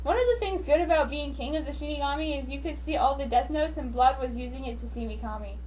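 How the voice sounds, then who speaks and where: A woman's voice: high-pitched, fast talker, far from the mic, heavy background noise. A woman giving a dramatic reading of a story as an amateur narrator. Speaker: amateur reader of fan fiction.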